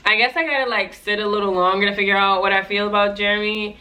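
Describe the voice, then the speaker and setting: Bright-voiced, joking young woman vlogger. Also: medium pitch, steady tone, fast talker, low-quality recording. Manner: playful, joking, chatty. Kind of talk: casual reaction commentary.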